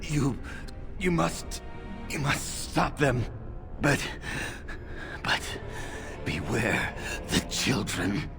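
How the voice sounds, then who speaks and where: A man playing a dying male dwarf soldier in a video game, grave and halting in his delivery. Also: mid-range voice, very animated, creaky, music in the background, full character voice. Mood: grave, desperate.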